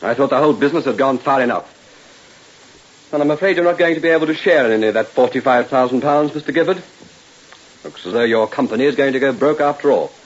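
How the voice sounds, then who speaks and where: A man's voice: mid-range voice, fast, slightly rough, lightly acted. A man acting in an old-time radio drama, in dramatic dialogue. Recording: muffled old radio recording, steady hiss.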